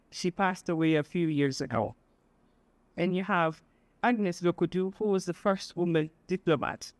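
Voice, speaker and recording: A woman from Sudan, giving an earnest, measured speech as a guest speaker, pausing often with fillers. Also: low-pitched, a little mellow, no echo. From South Sudan. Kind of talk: public speech at an event.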